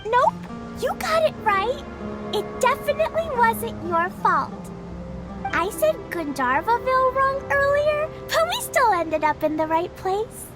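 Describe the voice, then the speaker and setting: An excitable little girl in a video game, with a very high, childlike voice. She is earnest and bubbly, speaking at an unhurried pace with lively pitch. Music plays clearly behind her. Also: heavily acted. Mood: reassuring. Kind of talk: video game cutscene acting. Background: background music.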